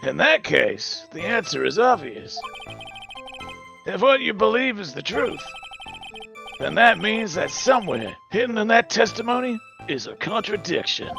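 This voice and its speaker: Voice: medium pitch, sing-song, unhurried, vocal fry, music in the background, poor audio. A performer voicing a confident, dramatic male mentor lawyer in a fan dub of a courtroom game. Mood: encouraging.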